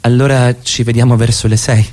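A mellow, soft-edged male voice of an actor playing a middle-class husband in a radio drama. He is courteous and casual on the surface, with measured, needling questions underneath. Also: medium pitch, flat delivery, natural voice. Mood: polite, casual. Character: middle-class husband, polite, probing, quietly needling his wife. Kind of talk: radio theatre dialogue.

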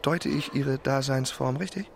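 Radio play: young marquis, mid register, gushing, lovesick, genteel, self-pitying; light background ambience. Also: male voice, mid-range voice, a little slow, vocal fry, a little hiss or hum. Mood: confiding, tipsy. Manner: effusive, stammering gentility. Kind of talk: German radio drama acting.

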